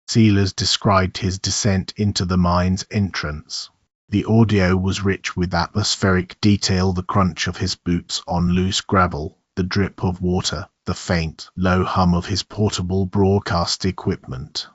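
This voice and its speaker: Male horror story narrator, very low voice, muffled recording with roomy echo.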